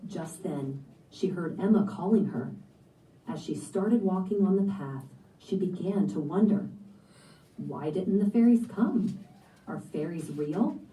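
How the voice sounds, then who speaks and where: A woman narrates a children's story in a very dark, soft-edged tone. Her voice sits on the low side and comes through an echoey room, a little away from the microphone. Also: lively intonation, unhurried. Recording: echoey room, picked up from a distance.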